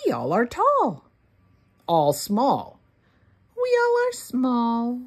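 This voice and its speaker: Very slow, expressive woman reading aloud. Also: a little high, slightly rough. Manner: deliberate, word-by-word, expressive delivery for early readers. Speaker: children's read-along host. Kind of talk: read-aloud of a rhyming picture book for young children.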